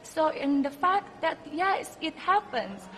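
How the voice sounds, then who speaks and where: A woman's voice: sits high, sing-song, slow, fairly bright tone. A woman in her twenties with an Indonesian accent, giving earnest, emphatic interview answers in non-native English as a pageant contestant. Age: 20s. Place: indoor press backdrop at a pageant event. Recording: clearly noisy room ambience.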